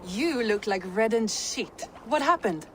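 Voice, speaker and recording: A woman's voice: a little high, lively intonation, measured pace, a little hiss or hum. An actress voicing a composed, formal female advisor in a video game cutscene. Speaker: game character.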